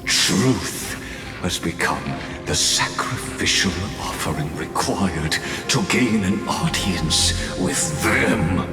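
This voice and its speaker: An actor playing a lofty, bitter scholar mastermind in a game cutscene, speaking in a slow, solemn, measured monologue. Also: male voice, sits low, exaggerated voice. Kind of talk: video game cutscene voice acting. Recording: echoey hall reverb with music behind.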